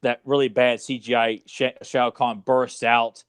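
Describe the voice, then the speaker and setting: A man co-hosting a podcast, chatting casually and swearing now and then like an animated fan. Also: medium pitch, measured pace, clean tone, no room sound, clean studio sound. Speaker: podcast co-host. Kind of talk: casual chat about movies.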